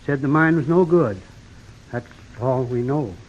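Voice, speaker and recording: A man's voice: medium pitch, unhurried, a little mellow, close to an everyday voice. A man playing a folksy, wary old homesteader in a western radio drama, with a plain, drawling rural Western American accent. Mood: rueful. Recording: dull vintage radio broadcast recording.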